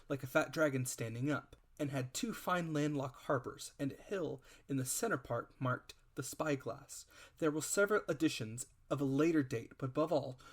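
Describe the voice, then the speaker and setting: A man reading a classic adventure story as a dramatic narrator, with deliberate storybook phrasing. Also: on the high side. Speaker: audiobook reader.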